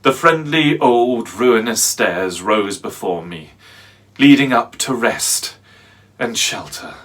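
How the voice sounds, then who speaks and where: A man playing a haunted, fearful narrator in a dramatic reading of a horror tale, speaking deliberately and theatrically with halting pauses. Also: medium pitch, animated, a bit bright. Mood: uneasy relief. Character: haunted, fearful man recounting a nightmarish pursuit. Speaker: actor, narrator.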